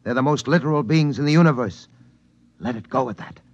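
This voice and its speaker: An actor playing a shrewd, calm spacefarer in a 1950s radio drama. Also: male voice, mid-range voice, a little fast, no echo, lightly acted. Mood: knowing, calm. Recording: muffled vintage radio recording.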